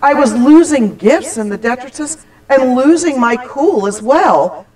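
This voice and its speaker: A woman telling a scripted personal holiday story, with deliberate, expressive, dramatic phrasing. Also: high voice, low-quality recording. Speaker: church storyteller.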